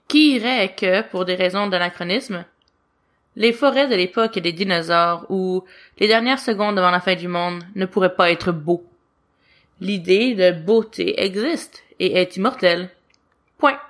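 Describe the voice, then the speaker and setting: A woman narrating a story as an audiobook reader, with expressive storytelling delivery. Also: on the low side, a little slow. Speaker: audiobook reader of fan fiction.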